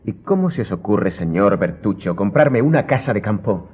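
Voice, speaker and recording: A man's voice: on the low side, big pitch swings, moderate pace, husky. An actor with a Spanish accent playing an imperious, secretive count in a radio drama, curt and formal as he gives commands. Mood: haughty impatience. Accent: Spain. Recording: muffled audio, faint music behind.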